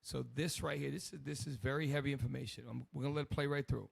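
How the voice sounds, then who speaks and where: A hoarse man hosts a loose, rambling commentary in a preaching tone. His voice is gravelly and the sound is dry and close. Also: medium pitch, steady tone, quick pace, no echo. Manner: loose and rambling, many restarts. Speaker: host.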